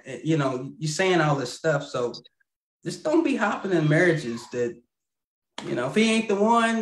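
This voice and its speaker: Male guest, 30s, rambling storyteller, lots of fillers, slightly high voice, echoey video-call audio. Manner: rambling, laughs mid-sentence, many fillers and restarts. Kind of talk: casual storytelling. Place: home room.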